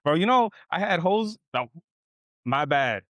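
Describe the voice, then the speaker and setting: A man's voice: on the high side, a little slow, dry recording, clean studio sound. Young man hosting a barbershop podcast. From South Texas. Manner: animated storytelling, slangy, says "bro" and "man" a lot. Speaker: podcast host. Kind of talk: casual chat.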